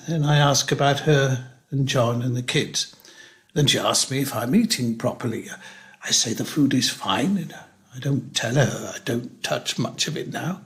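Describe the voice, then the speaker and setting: An older man playing a lonely, wry retired teacher near eighty in a care home, in a reflective, measured dramatic monologue. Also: mid-range voice.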